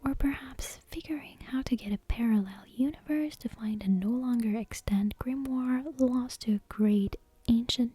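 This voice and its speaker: A woman reads a bedtime story as ASMR in a breathy, hushed whisper. Her voice is light and mellow, with soft, unhurried, expressive phrasing. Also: fairly high pitch.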